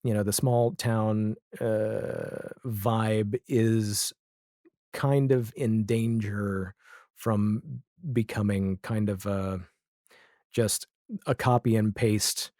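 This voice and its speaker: Low-voiced American man, dry sound. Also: steady tone, very slow, darkish tone, no room sound. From Nashville area, US. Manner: wry, opinionated, digressive. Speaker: podcast guest, audio drama creator. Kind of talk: casual conversational interview.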